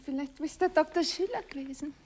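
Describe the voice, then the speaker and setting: Woman as a high-voiced young housemaid. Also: a little fast, darkish tone, lightly acted. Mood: artless. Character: deferential young housemaid, plain and simple. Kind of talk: radio play acting.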